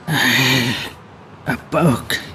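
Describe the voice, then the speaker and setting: A man's voice: medium pitch, steady tone, very slow, bright, forceful tone, far from the mic, slight background noise. A man playing a weary elderly grandfather in a radio drama. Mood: weary, concerned.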